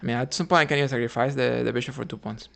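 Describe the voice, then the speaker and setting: A man talks through his chess moves live, half to himself and half to his viewers. His voice sits at an ordinary middle pitch with a gravelly fry. He speaks quietly and hesitantly, trying out moves in murmured fragments. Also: fairly even intonation, very fast. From Cuba. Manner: thinking aloud, muttering moves quietly, hesitant. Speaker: chess streamer. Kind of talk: live-streamed commentary on his own chess games.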